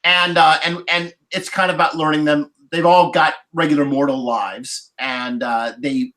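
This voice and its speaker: A man in a casual podcast roundtable, talking in a rambling, halting way and repeating words as he forms his thoughts. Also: on the high side, steady tone, sharp tone, low-quality recording. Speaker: podcast panelist.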